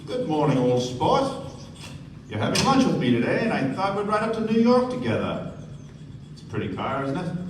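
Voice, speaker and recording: A man's voice: on the high side, huge pitch swings, normal speed. A man playing a suave, courteous, wealthy American host with genteel phrasing in a stage radio play. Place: theater stage before an audience. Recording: echoey stage, distant mic, noisy room.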